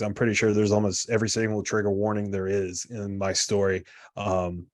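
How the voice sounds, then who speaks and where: A man's voice: lowish voice, a little mellow. A man, a guest coach talking casually and rambling with lots of fillers over a video call. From South Carolina, US. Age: 30s. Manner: rambling, filler-heavy, chatty and candid. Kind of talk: casual talk on a live online group lesson.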